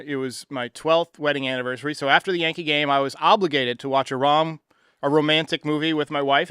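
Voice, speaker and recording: A man's voice: a little high, pushed and bright, clean tone, no echo, studio quality. An American man hosting a sports fan show, giving commentary and responding to voicemails.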